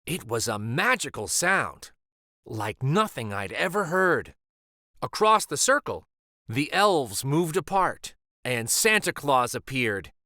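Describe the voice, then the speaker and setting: A man reading a children's picture book aloud as a storyteller, in an expressive, deliberate manner. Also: mid-range voice, no room sound, clean studio sound.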